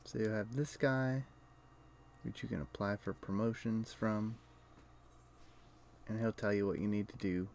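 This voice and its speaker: A male game streamer with a fairly low, gravelly voice talks casually through what he is doing. He speaks slowly and haltingly, half to himself, explaining things to viewers. There is faint noise behind him. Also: fairly even intonation, a little mellow. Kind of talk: casual live gameplay commentary, explaining to viewers.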